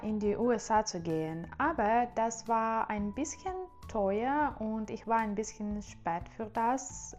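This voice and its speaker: Slow-speaking woman with Russian-Ukrainian accent. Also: medium pitch, lo-fi sound. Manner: careful, slightly hesitant non-native speech with fillers. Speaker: vlogger. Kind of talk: casual vlog monologue.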